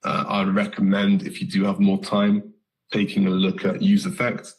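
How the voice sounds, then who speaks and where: A British man with a hoarse, slightly nasal voice from a recent flu, giving a live coding lesson with many ums and self-corrections. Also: low voice, monotone, moderate pace, a little mellow. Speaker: coding instructor.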